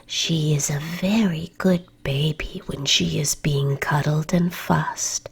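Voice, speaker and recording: A woman's voice: low-pitched, steady tone, slow, vocal fry, breathy edge, full character voice. A woman whispering as a kind mother cooing over her baby. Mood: fond. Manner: soft unvoiced whisper.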